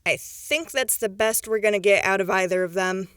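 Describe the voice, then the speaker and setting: A woman's voice: medium pitch, moderate pace, a bit bright, clear, resonant tone, no room sound, clean studio sound, natural voice. A woman voicing a folksy, chatty townswoman. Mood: friendly.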